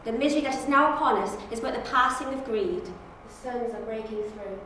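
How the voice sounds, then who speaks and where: A woman's voice: a little high, fairly even intonation, brisk, sharp tone. A woman auditioning as a loyal, defiant Time Lord, with earnest theatrical delivery. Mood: hopeful, solemn. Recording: distant, very echoey room, faint noise.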